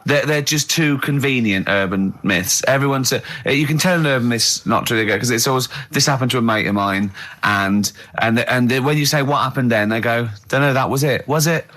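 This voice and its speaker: A man hosting a radio show with casual comic banter, animated and quick to act out dialogue. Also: mid-range voice. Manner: animated, breaks into laughter, acts out dialogue. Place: radio studio.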